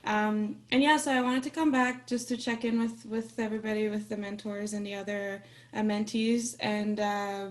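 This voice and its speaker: A woman from Austria whose first language is Farsi, introducing herself in a relaxed, friendly way on a video call. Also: mid-range voice, moderate pace, hardly any pauses. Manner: friendly, relaxed, laughs now and then. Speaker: workshop participant. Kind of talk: casual self-introduction.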